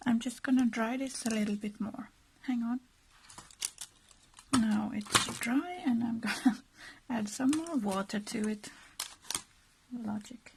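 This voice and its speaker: A native Swedish-speaking woman from Finland with a Finland-Swedish accent and a soft, breathy, husky voice, narrating her crafting casually in hesitant, unhurried murmured asides. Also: a little high, background noise. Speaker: craft vlogger.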